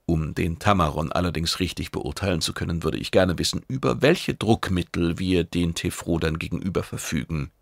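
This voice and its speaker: Man as creaky-voiced, calmly probing young oracle page. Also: low voice, moderate pace, hardly any pauses, no room sound. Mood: cool, assured. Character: shape-changing spy posing as eager, cheeky oracle page.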